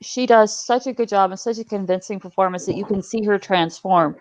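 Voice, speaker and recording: Female panelist, casual and emphatic, brisk pace, dry close sound. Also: medium pitch, a little mellow, dry recording, rough recording. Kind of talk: casual panel discussion about films.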